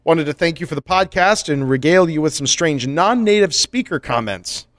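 A man reads listener mail on a comedy podcast, cracking jokes and laughing often. His pitch leaps around a lot. The sound is dry and close, with no room echo. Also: a little slow. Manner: joking, laughs often, animated. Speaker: podcast co-host. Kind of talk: casual comedic chat, reading listener mail.

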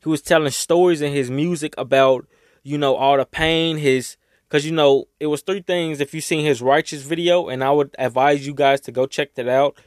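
A young man talking casually and emotionally to his viewers, rambling and swearing, his voice raspy from crying. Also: a little high, steady tone, normal speed, no echo, studio quality. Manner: rambling, swearing, heartfelt, Southern US slang. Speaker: vlogger. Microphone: own microphone.

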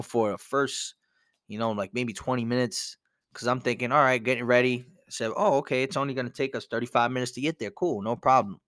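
A man's voice: on the low side, fairly bright tone, dry recording, studio quality. A young man hosting a casual solo podcast, telling a story in a rambling, conversational way with lots of "you know". He sounds a bit congested from a cold. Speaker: podcast host.

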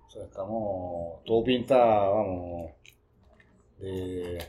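A man's voice: sits low, slow, warm tone. A man in his forties, born in Italy and raised in Venezuela, chatting as a podcast guest in a rambling way and repeating himself. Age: 40s. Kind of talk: casual conversation.

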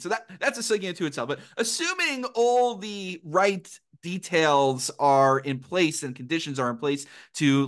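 A man from New York talks as a podcast host in a voice pitched a little above average. His delivery is informal and conversational, with self-deprecating asides and digressions. He mixes scholarly religious vocabulary into casual phrasing. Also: a bit bright. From New York, United States.

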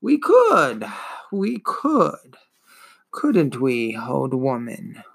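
An ancient swamp turtle, female and worn out by endless years, wheezes and gurgles through every word, as a man puts her on. Her speech crawls along, slow and drawn out, and gravelly fry rumbles beneath it. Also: huge pitch swings, a bit bright, exaggerated voice. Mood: weary, indifferent.

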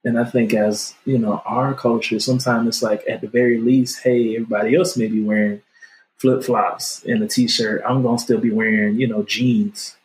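Male host, relaxed and casual, easy laugh. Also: medium pitch, normal speed, rough recording. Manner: relaxed, laughs easily, lots of affirming interjections. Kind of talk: casual discussion.

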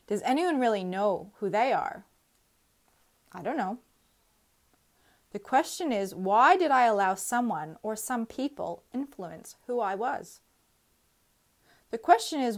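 Earnest woman in her thirties reading. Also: medium pitch. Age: 30s. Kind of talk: reading a personal essay aloud.

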